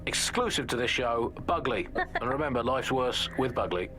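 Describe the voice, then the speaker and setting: A man's voice: medium pitch, lively intonation, moderate pace, sharp tone, soft background music. A man with a British accent playing a wry pirate radio host, bantering sarcastically with mock enthusiasm and stammering restarts. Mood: dryly sardonic. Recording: faint background noise.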